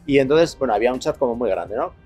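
Middle-aged male, Spain accent, rambling street-side storyteller, mid pitch, faint music behind. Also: lively intonation, fast, darkish tone. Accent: Spanish from Spain. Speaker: guest telling anecdotes. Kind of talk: casual anecdotal chat. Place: outdoors on residential and city streets.

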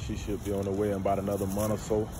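A man's voice: fairly low pitch, completely flat delivery, racing. A young man casually vlogging about dog breeding while walking his dog on a neighborhood sidewalk, recorded on a handheld phone. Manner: laid-back, slangy, talks to his dog between remarks. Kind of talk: casual walk-and-talk vlog. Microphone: phone held while walking a dog. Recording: outdoor phone recording with clear background noise.

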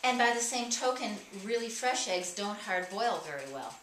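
A woman's voice: mid-range voice, lively intonation, normal speed. A middle-aged woman who runs a farm stand, explaining her eggs to a customer in a friendly way. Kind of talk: casual chat. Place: small indoor farm store. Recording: distant, echoey, noisy room.

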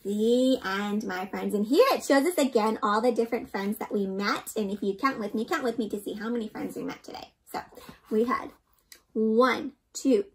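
A young woman reading a storybook aloud to children in an expressive, interactive way, often putting questions to the listener. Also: a little high, moderate pace, a little hiss or hum, low-quality recording. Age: young adult. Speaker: children's read-aloud host. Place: indoor room.

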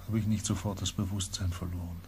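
An actor playing a grave, haunted expedition member in a science fiction radio drama, speaking in slow, halting phrases. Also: male voice, deep voice, level tone, vocal fry, a little mellow, a little hiss or hum.

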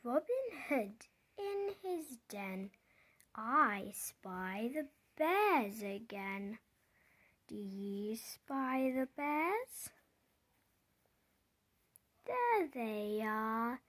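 A storybook reader going through a picture book aloud in simple, deliberate phrases, pausing and putting questions to the listener. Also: medium pitch, very animated, fairly bright tone. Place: indoors, book held before the camera.

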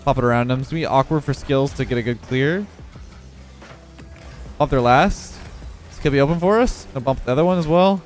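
A man's voice: medium pitch, fairly quick, pauses often, faint music behind. Congested young man calling his gameplay. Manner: relaxed running commentary on his own play. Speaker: gaming video creator. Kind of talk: live gameplay commentary.

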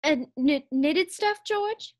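A woman's voice: high-pitched, animated, slow pace. A woman playing a teasing, coy, scatterbrained young wife in a 1940s-style radio comedy.

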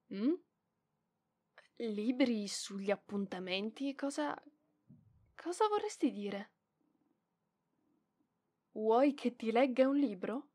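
A proud young librarian, acted by a woman for an ASMR roleplay, speaks in a fairly high voice at a slow pace. She scolds bossily yet fondly, stumbling over her words when flustered. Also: animated, mellow, full character voice. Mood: amused, teasing. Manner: hesitant stammering, flustered scolding, giggly. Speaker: voice actress in audio roleplay.

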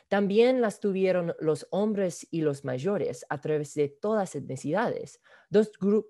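A student presenting research at an online academic conference, reading a prepared talk with frequent "ah" fillers. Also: male voice, fairly high pitch, mellow. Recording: video call audio.

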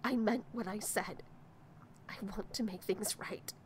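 A woman's voice: mid-range voice, measured pace, a little hiss or hum, natural voice. A woman playing an anxious, remorseful woman in an audio drama, hesitant and pleading. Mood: pleading, defensive.

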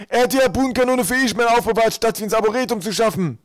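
Man playing accusing islander, agitated, quarrelsome, raised high voice, audiobook character, dry sound. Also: rapid-fire, no echo.

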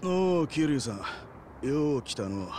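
An actor playing an easygoing, teasing baseball captain in a video game, folksy and drawling with a Hiroshima Japanese accent. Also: male voice, on the high side, big pitch swings, measured pace, mellow, slight background noise. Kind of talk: video game cutscene acting.

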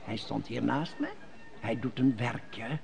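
A man's voice: medium pitch, takes it slowly, vocal fry, faint music behind. An actor playing a scheming male court elder who speaks in sly riddles, in an old radio play. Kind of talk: 1950s Dutch radio drama acting.

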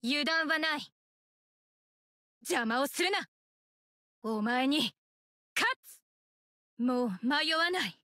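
A woman's voice: high-pitched, lively intonation, slow pace, long pauses, pushed and bright, dry recording, clean studio sound, full character voice. A woman voicing a devoted young female ninja in an anime game, earnest, terse and possessive of her master. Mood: fierce, determined. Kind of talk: Japanese anime game character voice lines.

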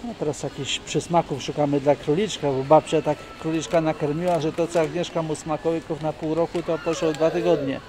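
A man's voice: on the high side, fairly even intonation, a little fast, few pauses, a crowd in the background. A man narrating a casual family vlog with dry jokes, filming on a phone outdoors and in a shopping mall. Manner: chatty, dry joking asides. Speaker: vlogger filming his family. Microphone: handheld phone camera. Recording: noisy outdoor phone recording.

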